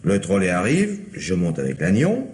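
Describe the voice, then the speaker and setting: A flustered young male police officer, in an old mystery serial. His voice is very low but swoops about as he protests. A faint hiss sits on the recording. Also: huge pitch swings, moderate pace, darkish tone. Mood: earnest reporting. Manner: protesting, stammering under pressure. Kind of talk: old French radio mystery drama acting.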